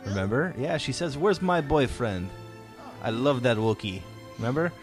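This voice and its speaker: A man's voice: medium pitch, expressive, normal speed, soft background music. A man hosting a casual fan discussion, explaining his points emphatically and posing questions that he answers himself. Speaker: podcast host.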